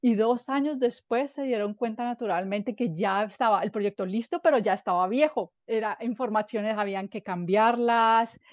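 A woman from Colombia, a guest from a nonprofit, answering interview questions in a measured, explanatory way with fillers and restarts. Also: on the high side, no echo, highs cut off. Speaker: guest from an NGO.

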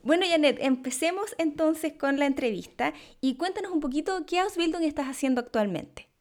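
A woman's voice: sits high, normal speed. A woman speaking as a host, moving between scripted introduction and interview questions.

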